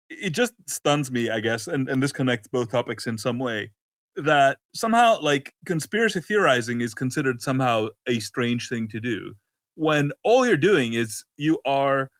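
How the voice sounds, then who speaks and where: A man's voice: medium pitch, no echo. A man co-hosting a casual, opinionated talk show, often breaking off and rephrasing as he goes. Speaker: podcast co-host.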